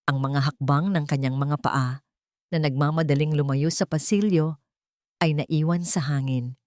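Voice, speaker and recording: Low-pitched woman narrating drama, dry sound. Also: moderate pace, a little mellow, dry recording. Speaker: narrator of love stories. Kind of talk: dramatic scripted audio story narration.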